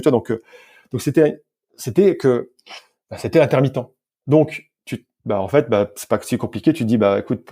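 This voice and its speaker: A man's voice: mid-range voice, mellow. A man from France recounting his work casually as a podcast guest, in informal, colloquial speech with repeated words and self-corrections. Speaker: podcast guest, sports scientist and physical coach. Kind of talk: casual interview storytelling.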